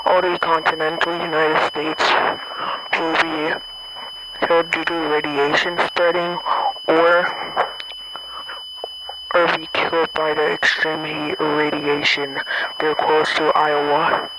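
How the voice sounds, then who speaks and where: A man delivering an emergency broadcast announcement in a flat, solemn manner. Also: a little high, takes it slowly, long pauses. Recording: loud hum and buzzing alarm noise.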